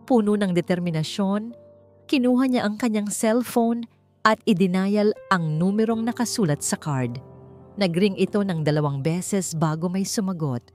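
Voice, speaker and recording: A woman's voice: mid-range voice, normal speed, a little mellow. A woman with a Filipino accent reading a scripted romance story in a dramatic narrating style. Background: background music.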